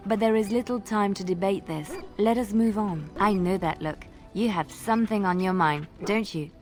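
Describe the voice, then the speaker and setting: Woman playing a devout, gentle young heroine. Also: medium pitch, normal speed, soft background music, lightly acted. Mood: reverent. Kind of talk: video game character acting.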